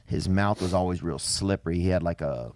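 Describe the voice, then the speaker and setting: A man telling comic stories casually in a rambling, colloquial way with folksy deadpan phrasing. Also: low voice, brisk, slight background noise. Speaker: podcast storyteller. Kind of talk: casual comedic storytelling.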